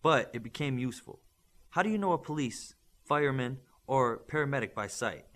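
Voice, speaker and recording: A man voicing a stern, principled instructor who lectures young superhero trainees in measured, emphatic audiobook dialogue. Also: medium pitch, animated, fairly bright tone, lightly acted. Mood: instructive. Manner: emphatic, didactic, measured lecturing with rhetorical questions.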